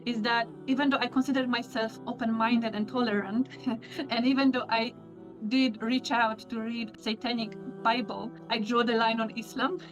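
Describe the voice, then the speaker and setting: A young woman, a guest telling her personal story in a candid, reflective way with thoughtful pauses. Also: on the high side, steady tone, lo-fi sound, music in the background. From Poland. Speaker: interview guest.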